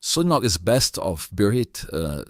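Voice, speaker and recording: Very low, halting male host. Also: sing-song, no room sound, studio quality. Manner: halting, repeats words, non-native phrasing. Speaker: host of a tutorial channel. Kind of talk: casual interview chat.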